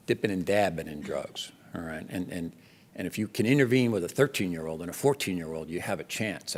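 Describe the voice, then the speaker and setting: Older New Jersey man in a hall. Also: sits low. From Jersey City, New Jersey. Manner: animated and conversational, with frequent restarts and fillers. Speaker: keynote speaker. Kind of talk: conference keynote speech. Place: large lecture hall. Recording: long hall reverb.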